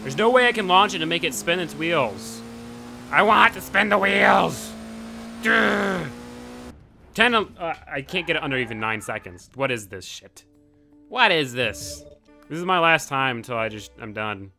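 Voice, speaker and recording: Animated young man, easily riled gamer. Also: on the high side, a little slow, creaky. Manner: frustrated outbursts, swearing, shouting "why" at the game. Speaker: gaming channel host. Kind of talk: casual gameplay commentary. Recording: game audio and music under voice. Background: car engine sounds and music.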